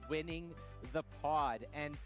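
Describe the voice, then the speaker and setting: A man giving live broadcast analysis of a tournament, emphatic and deliberate in his storytelling. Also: a little high, a bit bright, soft background music.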